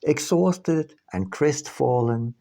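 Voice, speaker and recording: A man reading his own book aloud as scripted narration, with measured, deliberate phrasing and pauses. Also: on the high side, vocal fry, dark tone.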